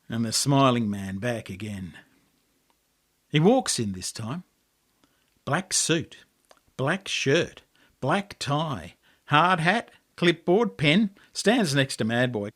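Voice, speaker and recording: A man with an Australian accent reading a story aloud as an expressive narrator. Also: a little high. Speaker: author reading own book.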